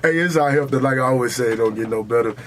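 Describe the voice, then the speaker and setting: A man's voice: mid-range voice, quick pace, fairly bright tone. Brash man podcasting over background noise. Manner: brash, opinionated, profane trash talk. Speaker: podcast host. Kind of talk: casual, heated sports and culture debate.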